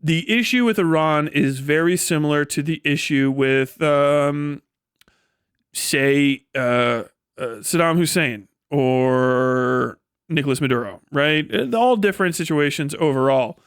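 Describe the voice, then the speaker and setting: A man's voice: fairly high pitch, measured pace, smooth, dry recording. Male streamer, clean resonant voice in a very dry space, riffing on politics with blunt, dismissive, profane sarcasm. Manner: sardonic. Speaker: live streamer. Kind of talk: off-the-cuff political commentary on a livestream.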